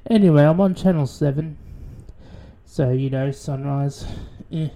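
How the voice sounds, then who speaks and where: A man's voice: a little high, a little slow, gravelly, dark tone. A man with an Australian accent, hosting a radio show in a rambling, profane casual monologue with many restarts.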